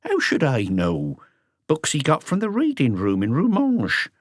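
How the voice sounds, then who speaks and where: A man's voice: mid-range voice, huge pitch swings, a little slow, dry recording, pitched above the performer's own voice. A man voicing a wary, proud elderly mother with muttered, defiant retorts in an audiobook. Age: older. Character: sharp-tongued elderly mother, wary, proud of her son. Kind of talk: audiobook character voice.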